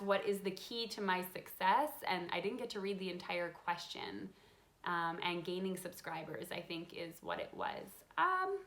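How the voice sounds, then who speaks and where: A woman in her thirties hosts a relaxed live question-and-answer chat about card making from her home craft room, reading out viewer questions between frequent ums. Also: medium pitch, vocal fry. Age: 30s. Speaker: craft channel host. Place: home craft room with a desk, lit by a window.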